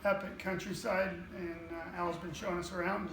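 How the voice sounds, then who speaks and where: High-voiced laid-back man. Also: flat delivery, moderate pace. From California. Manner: laid-back, filler-heavy surfer talk. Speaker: interviewer. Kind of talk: casual interview. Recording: echoey, crowd noise behind.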